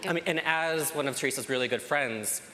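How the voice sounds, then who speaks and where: A man's voice: mid-range voice, brisk. Guy hosting a panel, casual questions, crowd behind him, roomy hall sound. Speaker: panel moderator. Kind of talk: casual interviewing. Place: convention panel stage, indoors. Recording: reverberant hall with clear crowd.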